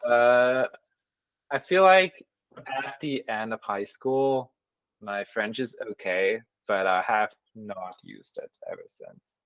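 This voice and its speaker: A man in his thirties from Switzerland with a German accent, a guest chatting casually in an interview, thoughtful and halting with frequent restarts. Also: lowish voice, no room sound, muffled recording. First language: Swiss German. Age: 30s. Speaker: guest mathematician.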